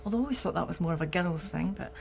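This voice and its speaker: An actress playing a no-nonsense police detective in a radio drama, with a Scottish accent and a matter-of-fact manner. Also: female voice, on the low side, racing, creaky, over music.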